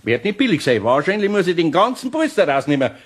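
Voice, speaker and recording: An actor with a Bavarian accent playing a good-natured but fussy older master carpenter in a radio play, grumbling and fretting in a homely way. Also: male voice, a little high, big pitch swings, quick pace, husky. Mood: flustered, upset.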